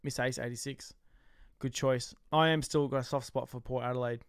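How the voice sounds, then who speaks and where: An Australian man co-hosting a casual recap chat, loud, jokey and excitable, trash-talking on a close mic. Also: mid-range voice, moderate pace, clear, resonant tone, no echo. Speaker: podcast co-host.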